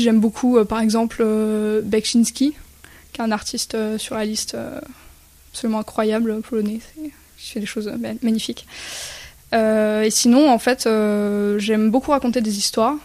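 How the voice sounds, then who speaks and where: Fairly high-voiced woman artist, Spanish-born. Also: darkish tone, no room sound. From Spain. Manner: thoughtful, pauses with fillers. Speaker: guest artist. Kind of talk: radio interview answers.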